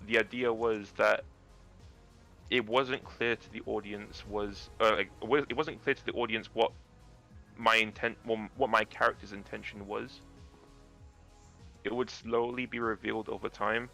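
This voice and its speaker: A man with a naturally deep voice, a guest actor chatting casually about acting, rambling and restarting his sentences. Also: steady tone, normal speed, gravelly, pauses often, pushed and bright, faint music behind.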